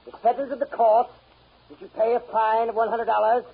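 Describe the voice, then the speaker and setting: An actor playing a stern, impatient judge with a pompous, curt official manner in a 1930s radio drama. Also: male voice, very high-pitched, flat delivery, fairly quick, creaky, slightly rough, heavily acted. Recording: dull 1930s radio recording.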